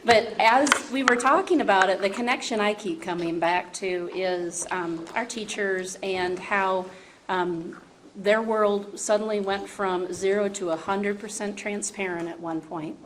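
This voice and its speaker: A woman speaking unscripted on a panel in a measured, reflective way about her field. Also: medium pitch, steady tone, rough recording. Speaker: education panelist. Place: live panel event. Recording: faint room noise of live event.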